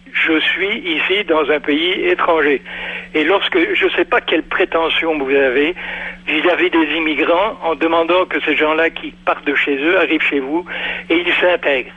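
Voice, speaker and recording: Male caller, husky and lecturing, haughty tone, heard over a dull lo-fi radio line. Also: fairly high pitch, steady tone, normal speed. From Europe. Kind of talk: phone-in radio debate.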